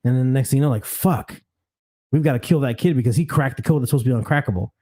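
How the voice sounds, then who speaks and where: Mellow-voiced man, rambling podcast host. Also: medium pitch, fast. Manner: loose, rambling storytelling. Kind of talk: casual crude banter.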